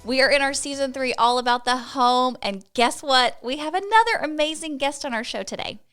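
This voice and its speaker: A bubbly woman with a Texan accent co-hosts a casual podcast in a fairly high voice. She is playful and effusive. Faint music plays underneath her. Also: fairly quick, fairly bright tone, clear, resonant tone. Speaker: podcast co-host.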